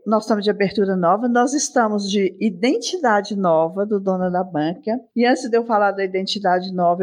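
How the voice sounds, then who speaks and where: A woman's voice: mid-range voice, darkish tone. A woman in her sixties hosting her own podcast alone, talking in a chatty, affectionate way that wanders into asides. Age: 60s. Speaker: podcast host. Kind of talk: casual solo podcast chat.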